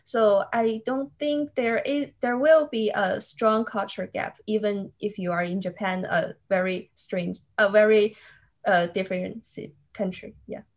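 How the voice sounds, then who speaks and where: A woman's voice: medium pitch, steady tone, a little slow. A young woman, a graduate student, answering thoughtfully on a video call and restarting phrases as she goes. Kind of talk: panel interview answers.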